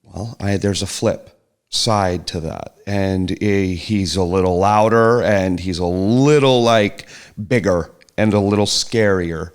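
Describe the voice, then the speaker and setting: Slow, low-voiced man talking things through. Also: smooth. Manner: hesitant, restarts and trails off, soft-spoken reflection. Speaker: podcast guest, host's partner. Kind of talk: casual podcast chat.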